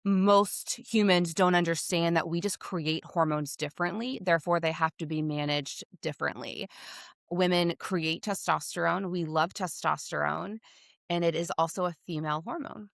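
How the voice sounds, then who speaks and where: A woman's voice: lowish voice, steady tone, normal speed, vocal fry, dry recording, studio quality. A woman with a slightly scratchy voice, a hormone health provider who explains things openly and with confidence in a podcast interview.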